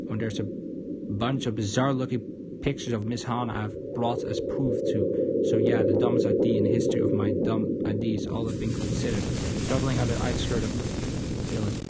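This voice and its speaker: A man's voice: medium pitch, level tone, brisk, heavy background noise. A man on a game livestream, reading visual novel text aloud and commenting, stumbling over words as he goes. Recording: loud music under the voice. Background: loud background music.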